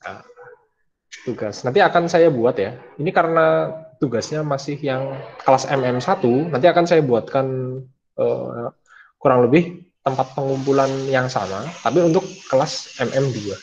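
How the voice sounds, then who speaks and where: A man's voice: mid-range voice, moderate pace, vocal fry, a little hiss or hum. A man, a university lecturer wrapping up an online class with many fillers and restarts. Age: 20s. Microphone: video call. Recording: dull lo-fi call audio.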